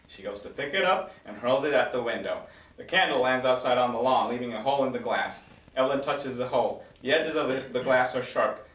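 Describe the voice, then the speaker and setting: A man's voice: medium pitch, fairly bright tone, recorded from a distance. A man reading screenplay stage directions as narrator at a table read. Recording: echoey room, slightly off-mic.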